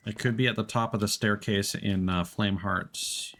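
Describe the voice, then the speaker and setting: A man's voice: fairly low pitch, fairly even intonation, moderate pace, fairly bright tone. A man streaming a game, chatting casually and thinking aloud in hesitant, unfinished fragments. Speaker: live streamer. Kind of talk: casual gameplay chat.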